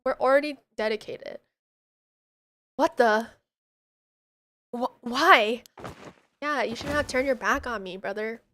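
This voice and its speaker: A woman's voice: fairly high pitch, takes it slowly, long pauses, pushed and bright, slight background noise. A woman livestreaming a game, reading its text aloud and reacting in casual slang. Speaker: streamer playing a horror visual novel.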